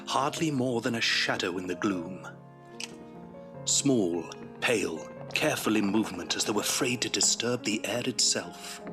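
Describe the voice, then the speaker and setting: A man narrating an audio drama in a solemn, measured storytelling style. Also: on the low side, over music.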